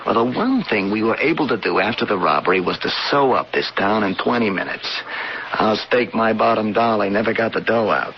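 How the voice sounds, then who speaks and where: A man's voice: fairly low pitch, animated, brisk. A man playing a tough, slangy American police lieutenant in a 1980s radio drama, talking in colloquial, hard-boiled fashion. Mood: determined. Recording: muffled, noisy 1980s radio broadcast.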